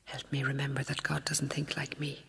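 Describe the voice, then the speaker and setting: Whispering nun in echoing hall. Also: female voice, rumbling low, completely flat delivery, normal speed, creaky, husky, exaggerated voice. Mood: hushed, prayerful. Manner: hushed, whispering, reflective. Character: devout, troubled Mother Superior in prayer. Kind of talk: radio drama acting. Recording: long chapel-like reverb.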